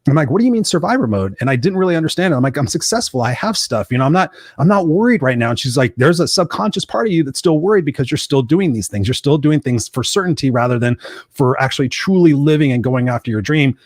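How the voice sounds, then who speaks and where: A man hosting a live motivational show, telling personal stories in an earnest, energetic way. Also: on the high side, quick pace, no echo, clean studio sound. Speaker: podcast host.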